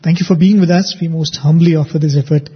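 A man's voice: a little high, steady tone, fast, breathy edge. A man hosting a devotional radio talk show, speaking in a reflective, earnest way and telling stories. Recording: dull lo-fi radio sound.

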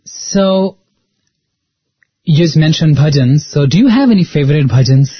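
A man puts questions to a guest, halting and hesitant, filling gaps with ums. His voice sits a little high for a man. The sound is dry and dull, like a lo-fi recording. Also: animated, husky, no echo. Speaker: interviewer. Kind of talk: interview questions.

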